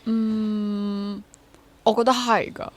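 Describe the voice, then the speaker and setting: A woman's voice: medium pitch, flat delivery, very slow. A woman in her twenties with a Hong Kong accent, speaking candidly and reflectively in a casual interview, mixing in English words. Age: 20s. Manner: candid, self-questioning, mixes English words into sentences. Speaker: interview guest, freelance theatre actor and drama teacher.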